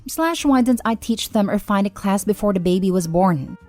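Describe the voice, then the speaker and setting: A woman narrator reading online posts and comments aloud in a steady, even delivery. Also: medium pitch, normal speed. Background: faint background music.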